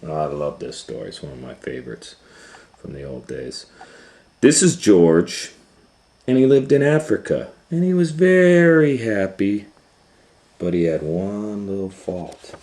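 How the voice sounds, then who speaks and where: A man's voice: sits low, sing-song, measured pace, pauses often, dark tone. A man hosting storytime, telling a children's story informally with hesitant, conversational asides. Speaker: storytime host and reader.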